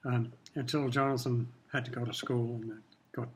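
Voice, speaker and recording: An older man from Kenya whose first language was Swahili, speaking in a measured, reflective way while answering questions in an interview. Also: medium pitch, level tone, breathy edge, rough recording. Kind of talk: casual interview talk.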